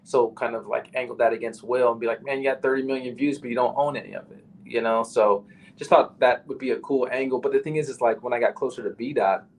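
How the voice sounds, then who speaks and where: A man's voice: mid-range voice, fairly even intonation, quick pace, low-quality recording. A man with a nasal voice, a battle rapper talking casually as a podcast guest, full of "you know" and "like".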